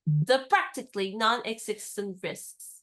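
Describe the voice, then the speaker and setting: An actress playing a spirited, independent young woman in a radio play, speaking in a crisp, teasing and firmly insistent way. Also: mid-range voice, animated, measured pace, fairly bright tone. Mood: determined.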